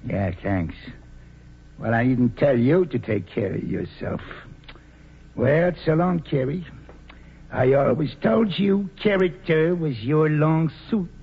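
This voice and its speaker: A man's voice: a little high, expressive, takes it slowly, slightly rough, full character voice. An actor playing a cocky, good-humored racketeer in a radio play, wisecracking in tough-guy slang. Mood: grudging admiration. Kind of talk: 1940s radio play acting. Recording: muffled, noisy 1940s radio recording.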